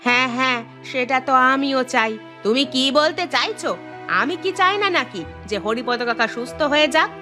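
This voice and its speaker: A woman playing a gossipy, self-serving village neighbour in an animated moral story, with a pushy, theatrical, chatty manner. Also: sits high, normal speed, music in the background, lightly acted.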